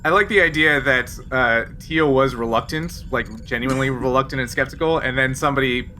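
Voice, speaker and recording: A man improvising narration as game master of a casual tabletop role-playing game, pausing to find his words. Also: medium pitch, pushed and bright, slight background noise. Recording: faint music underneath.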